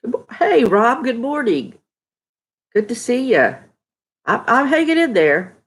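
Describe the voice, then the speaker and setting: A woman's voice: on the high side, very animated, measured pace, slightly rough. A middle-aged woman with a stuffed-up, nasal voice, chatting casually with viewers and greeting them by name on a live stream from home. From Texas. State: stuffy, congested nose. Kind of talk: casual live chat reading viewer comments.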